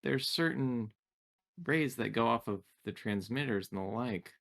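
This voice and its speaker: A man voicing a polite, literal female android assistant in a tabletop game, speaking in a calm, formal, measured way. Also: mid-range voice, creaky. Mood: matter-of-fact.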